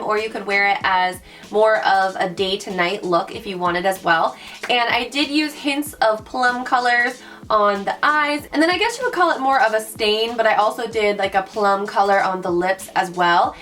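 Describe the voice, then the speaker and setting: A young woman with a raspy voice from recent illness, chatting bubbly through a makeup tutorial and calling her viewers guys. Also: fairly high pitch. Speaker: beauty vlogger. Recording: mic at a distance, soft background music.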